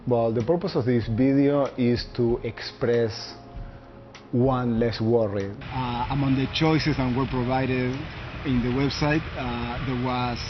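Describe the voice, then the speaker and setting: A man in his thirties from Uruguay with a Uruguayan Spanish accent, a law student speaking slowly and carefully in a personal video statement, pausing to search for words. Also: medium pitch, fairly even intonation. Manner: measured and deliberate, searching for words. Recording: muffled lo-fi recording with faint background music.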